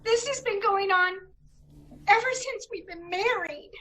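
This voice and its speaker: A woman plays a very high-voiced, bright young American bride in a radio mystery spoof. She is naive and fretful, pleading in a girlish way. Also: a little slow, full character voice. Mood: fearful, pleading. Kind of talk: old-time radio comedy mystery acting.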